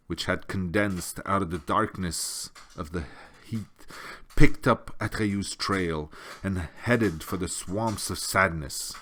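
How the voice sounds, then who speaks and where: A man's voice: low voice, fairly bright tone. A man narrating a storybook as an audiobook reader.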